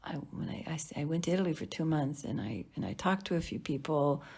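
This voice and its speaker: A middle-aged woman, a Sicilian speaker, giving a candid personal talk in a reflective, halting, self-questioning way. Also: sits low, expressive, gravelly, a little mellow, husky. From Canada, partly Sicily. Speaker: academic researcher presenting.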